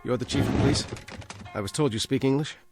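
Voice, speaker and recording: A man's voice: medium pitch, fast, natural voice. A man playing an earnest, intense American with a clipped, guarded way of speaking and an American accent, in vintage television drama style. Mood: urgent. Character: earnest, urgent American man carrying a grave warning. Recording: old TV soundtrack with music. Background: dramatic music.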